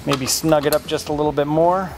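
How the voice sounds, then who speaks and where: A man in his forties in a garage workshop, explaining a rooftop tent install step by step in a relaxed, practical way. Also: a little high, fairly quick, very noisy. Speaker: off-road shop installer. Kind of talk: how-to install demonstration.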